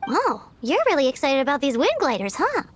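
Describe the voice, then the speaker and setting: Squeaky, extremely high little fairy companion. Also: female voice, fairly quick, a little hiss or hum. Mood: excited. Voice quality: squeaky, childlike. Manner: blunt, excitable, nosy. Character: tiny, cheeky, childlike female fairy companion. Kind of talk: video game voice acting. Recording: music behind the voice.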